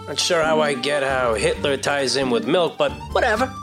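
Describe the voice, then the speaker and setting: A blunt, skeptical friend in a comedy audio show. Her voice is low but lively, with music behind it. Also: normal speed. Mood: incredulous.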